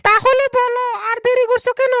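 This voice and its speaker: A woman's voice: very high voice, little pitch movement, fairly quick, background noise. A woman voicing a polite young female schoolteacher in a comedy cartoon, instructing her pupils. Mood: teacherly, questioning. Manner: instructing, addresses pupils in turn.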